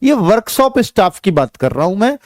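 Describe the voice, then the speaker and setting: A man's voice: high-pitched, big pitch swings, normal speed, no room sound, clean studio sound. A man giving an informal explanatory lesson to students, repeating points and checking that they follow. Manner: explanatory, repetitive, checks listeners follow with tag questions. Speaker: exam coaching teacher.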